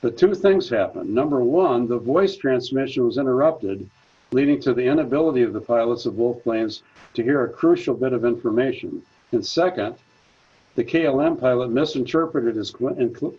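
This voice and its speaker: A man's voice: mid-range voice, expressive, mellow, husky, lo-fi sound. A man presenting a prepared talk at a senior center, reading steadily from his notes. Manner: measured, reads from notes.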